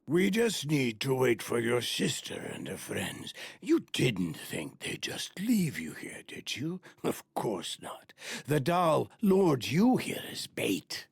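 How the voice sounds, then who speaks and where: A man's voice: mid-range voice, huge pitch swings, slow, vocal fry, husky, no room sound, clean studio sound, exaggerated voice. A man playing a scheming, vengeful villain musician in a video game cutscene, with a theatrical, menacing, taunting manner. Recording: background music under the voice.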